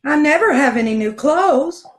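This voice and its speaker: A man voicing a meek, put-upon middle-aged wife in a plaintive falsetto, old-time radio comedy style. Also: animated, normal speed. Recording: distant video call audio.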